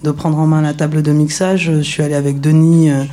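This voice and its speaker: Mellow-voiced woman, hesitant and casual. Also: low-pitched, flat delivery, fairly quick. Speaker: radio workshop participant. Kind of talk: casual round-table talk.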